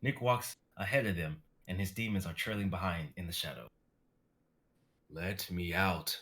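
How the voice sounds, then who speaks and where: A man's voice: low-pitched, expressive, a little fast, a bit bright. A man reading the stage directions of a script aloud in a dramatic reading.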